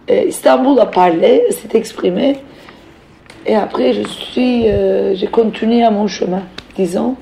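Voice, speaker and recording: A middle-aged woman from Turkey with a Turkish accent, telling her own story off the cuff as a novelist, reflective and halting as she searches for words and repeats phrases for emphasis. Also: medium pitch, vocal fry, warm tone, slight background noise, low-quality recording. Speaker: novelist speaking as a guest. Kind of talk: reflective personal account, spoken off the cuff.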